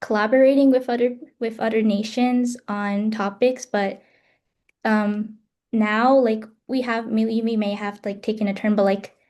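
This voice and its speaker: A woman's voice: a little high, little pitch movement, measured pace, a little mellow. A young woman from the United States answering panel questions on a video call, hesitant, with many fillers and restarts. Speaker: student presenter. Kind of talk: online panel Q&A.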